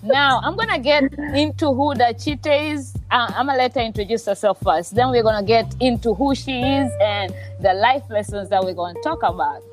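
A woman hosting a casual podcast, playful and quick to laugh, cussing freely. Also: a little high, soft background music. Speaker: podcast host.

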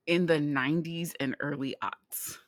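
A woman's voice: low-pitched, fairly even intonation, unhurried, no echo, studio quality. A middle-aged woman from California co-hosting a podcast in casual chat, laughing often and swearing freely. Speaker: podcast co-host.

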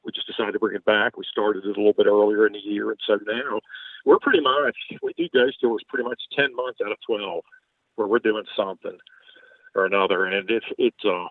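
An older man with a light Southern accent talks in a low, gravelly voice, folksy and rambling as he answers questions. His voice comes over a tinny, phone-like line with a dry sound. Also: fairly quick, no room sound. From Baltimore, Maryland. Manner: folksy, rambling, chuckles often. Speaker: ghost tour owner. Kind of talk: podcast interview over a call.

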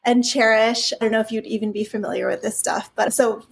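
Woman, raised in Hawaii, raspy and breathy, slightly high voice, rambling chat. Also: little pitch movement, a little fast, a little hiss or hum. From California, raised in Hawaii. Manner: chatty, rambling, frequent restarts and fillers. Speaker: toy company founder, guest. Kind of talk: casual interview conversation.

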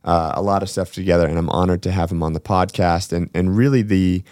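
A man's voice: deep voice, steady tone, a little fast, a little mellow, dry recording, clean studio sound. A man hosting a podcast, interviewing a friend in a laid-back, teasing way. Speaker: podcast host. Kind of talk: casual interview.

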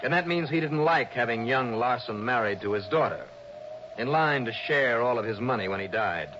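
A man's voice: medium pitch, lively intonation, normal speed, fairly bright tone, natural voice. A man playing a shrewd, tough insurance investigator in a 1960s radio detective drama, clipped and assured. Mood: pressing, assured. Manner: clipped, assured, hard-boiled. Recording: dull, noisy old radio broadcast.

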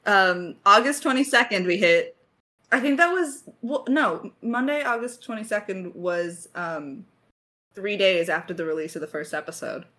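A woman's voice: medium pitch, lively intonation, normal speed, pushed and bright. A woman chatting casually as a podcast co-host, with a playful, joking, teasing manner. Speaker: podcast co-host, writer and voice actor. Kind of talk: casual chat Q&A.

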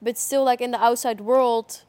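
Woman, fairly high voice, careful, lots of mm-hmms. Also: fairly even intonation, moderate pace, warm tone. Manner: careful, frequent murmured agreement. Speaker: podcast co-host. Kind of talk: casual chat.

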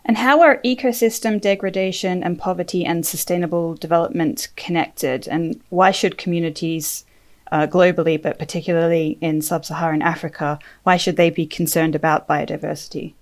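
A woman interviewer asking brisk, probing questions. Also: on the low side, fairly even intonation.

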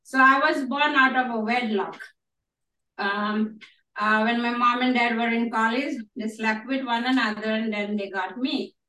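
A woman's voice: on the high side, steady tone, measured pace, sharp tone, distant mic. A woman from Indonesia, a guest pastor, telling her testimony at a church gathering, laughing often and affirming with amens. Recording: roomy echo, mic from afar.

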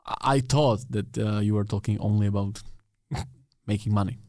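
A Czech man hosting a podcast, speaking English with a Czech accent in halting phrases with frequent restarts. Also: on the low side, fairly even intonation, dark tone, no echo, studio quality. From Czech Republic. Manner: halting English, restarts and repeats words, laughs easily. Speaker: podcast host. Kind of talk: casual interview conversation.

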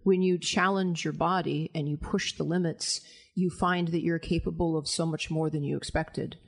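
A woman with a deep voice, talking frankly and confidently as a guest on a panel conversation. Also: fairly even intonation, normal speed. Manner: frank, emphatic and self-assured. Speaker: anonymous guest.

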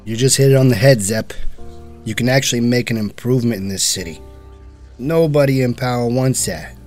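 An actor playing a cynical, wisecracking senior police officer in a noir audio drama, with a husky voice and teasing swagger. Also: male voice, medium pitch, brisk, a little mellow, soft background music, natural voice. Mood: cynical, needling.

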